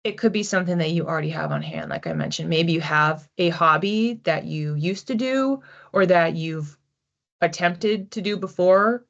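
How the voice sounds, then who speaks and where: Low-pitched woman, casual reader, slightly dull sound. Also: normal speed, gravelly. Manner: informal, thinks aloud with frequent "like". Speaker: psychic reader hosting a weekly collective reading. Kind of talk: casual, conversational card reading to an audience.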